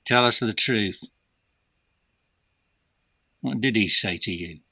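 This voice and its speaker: A man acting a grave, doubting male disciple in a scripture drama. His low voice is very forceful and edged, putting terse questions slowly and demandingly, with a dry, close sound. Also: gravelly, no room sound, heavily acted. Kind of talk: dramatised ancient religious text.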